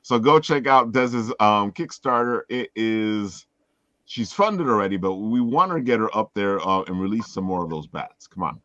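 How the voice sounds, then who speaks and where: A man's voice: lowish voice, moderate pace, fairly bright tone, no room sound. A man hosting a casual livestream chat in a rambling, informal way, prone to giggling, over slightly dull lo-fi call audio. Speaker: host. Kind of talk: casual livestream chat promoting crowdfunding projects.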